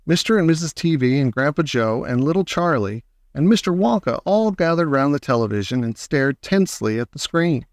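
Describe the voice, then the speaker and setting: Higher-voiced man narrating a storybook. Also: animated, a little fast, few pauses, no room sound, clean studio sound. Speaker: audiobook narrator. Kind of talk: expressive children's storybook narration.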